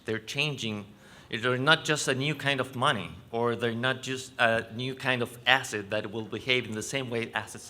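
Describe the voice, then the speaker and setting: A man with a Chilean Spanish accent giving a conference presentation, explaining in a halting way and correcting himself. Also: lowish voice, bright, forceful tone. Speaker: panel speaker. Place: conference venue stage.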